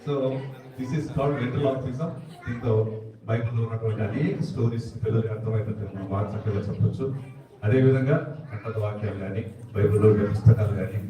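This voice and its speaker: A man's voice: lowish voice, fairly even intonation, brisk, dark tone. A man presenting to children as a ventriloquist, chatting informally and bantering with repeated questions. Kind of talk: informal stage talk to children. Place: church hall. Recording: echoey hall, distant microphone.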